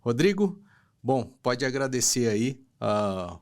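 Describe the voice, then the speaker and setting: A man's voice: medium pitch, sing-song, takes it slowly. Upbeat Brazilian Portuguese male host. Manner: upbeat, with casual slang. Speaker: podcast host. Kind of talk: casual interview talk.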